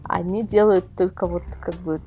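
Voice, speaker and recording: A woman's voice: lowish voice, fairly even intonation, moderate pace, warm tone, breathy edge. Chatty woman over a music bed. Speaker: guest, magazine-style portal editor. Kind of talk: casual podcast interview answers.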